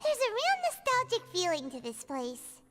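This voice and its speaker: Squeaky, tiny, childlike fairy companion. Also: female voice, wildly swooping pitch, moderate pace, heavy background noise, heavily acted. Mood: delighted. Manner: perky, exclaiming. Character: small cheerful childlike fairy companion, curious and chatty. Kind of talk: video game character dialogue. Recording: music behind.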